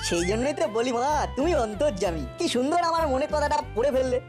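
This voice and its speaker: Eager, quick high-voiced cartoon schoolboy by a woman. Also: expressive. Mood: coaxing. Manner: eager, persuasive. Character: eager, clever schoolboy, confident and persuasive. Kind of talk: cartoon voice acting. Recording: music behind the voice. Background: cartoon background music.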